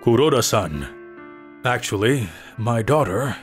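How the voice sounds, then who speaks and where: A man's voice: mid-range voice, lively intonation, close to an everyday voice. A man voicing a polite, serious middle-aged company president and father in a dubbed manga story, speaking formally. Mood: grave, grateful. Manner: formal, grateful, measured. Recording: music under the voice.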